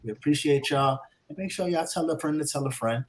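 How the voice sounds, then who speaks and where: A man co-hosting a podcast, delivering show announcements and listener shout-outs in an upbeat, announcer-like way. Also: a little high, a little fast. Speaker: podcast co-host.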